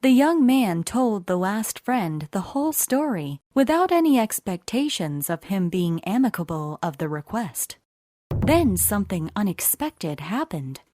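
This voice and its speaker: A woman narrating a scripted children's bedtime story in an expressive, deliberate way. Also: mid-range voice, dry recording.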